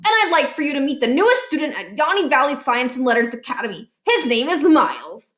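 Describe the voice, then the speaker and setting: A woman's voice: high-pitched, big pitch swings, brisk, fairly bright tone, reverberant, voice pushed up. A woman voicing an eager, helpful, polite schoolboy who over-explains, in a children's book read-aloud. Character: eager, helpful goody-two-shoes schoolboy.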